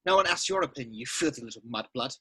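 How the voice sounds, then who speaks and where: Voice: mid-range voice, animated, fast, fairly bright tone, husky. An actor playing a spoiled, rich schoolboy bully in an amateur table read, snide and contemptuous. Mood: sneering.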